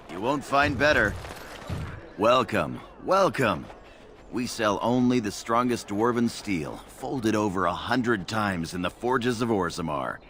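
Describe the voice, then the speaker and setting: An actor playing a market merchant in a video game, with a salesmanlike, matter-of-fact manner. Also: male voice, mid-range voice, background noise. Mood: hawking, eager. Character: male market merchant hawking arms and armor.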